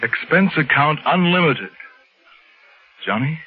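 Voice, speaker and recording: A man's voice: on the high side, lively intonation, slow pace, slightly rough, full character voice. A man acting an anxious, pleading insurance manager in a 1950s radio drama, flustered and earnest. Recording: muffled, noisy vintage radio recording.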